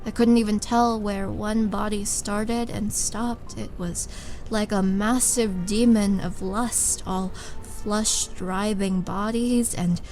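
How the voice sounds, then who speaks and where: A woman playing a vengeful, seductive monster warrior in a wistful, theatrical roleplay monologue, with dramatic pauses. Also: medium pitch, steady tone, a little mellow, faint music behind, low-quality recording. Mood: bitter, wistful.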